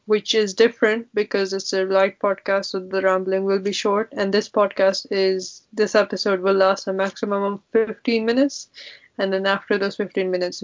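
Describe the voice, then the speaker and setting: A young woman hosting a casual podcast with her sister, chatty and teasing. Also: medium pitch. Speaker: podcast host. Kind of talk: casual sibling podcast chat. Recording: dull lo-fi podcast audio.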